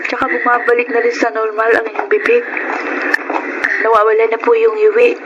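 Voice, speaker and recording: Heavy noise surrounds a high, girlish voice in a Filipino radio drama. It is a self-sacrificing, respectful teenage daughter with a Filipino accent, acted by a woman. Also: level tone, a little fast, highs cut off, lightly acted. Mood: caring, gentle. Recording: noisy radio drama recording.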